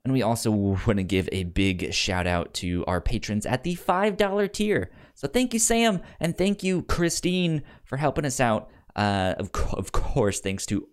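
A man's voice: mid-range voice, expressive, unhurried, hardly any pauses, clear, resonant tone. A man hosting a podcast in casual, informal chat, repeating words for emphasis. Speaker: podcast host.

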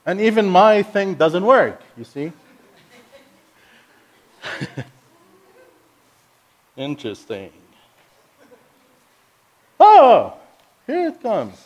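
An energetic, playful man with an Egyptian accent delivers a sermon as a guest speaker. His first language is Arabic, his voice sits a little high for a man, and he punches key words with sudden emphasis. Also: drawn-out words, pauses often.

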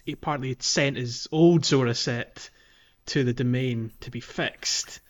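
A man's voice: mid-range voice, a little slow. A man co-hosting a podcast, casually talking through a video game in a conversational, somewhat hesitant way. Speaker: podcast co-host.

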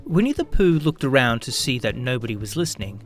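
A man hosting and narrating a children's story in a theatrical, expressive, deliberate storyteller style. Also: mid-range voice, soft background music. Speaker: host and narrator of a radio play show.

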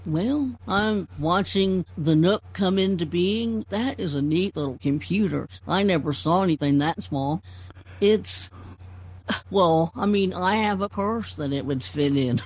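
A woman's voice: lowish voice, a little slow, slightly rough. An older woman in casual group conversation, rambling a little as she searches for words. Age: 60s or older. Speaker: club member.

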